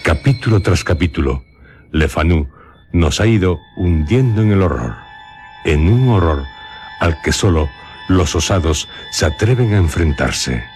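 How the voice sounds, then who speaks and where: A man with a Castilian Spanish accent introducing a radio horror drama in a solemn, theatrical, suspenseful way, pausing between short phrases. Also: low-pitched, animated, darkish tone. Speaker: host and narrator of a radio horror drama series. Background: music under the voice.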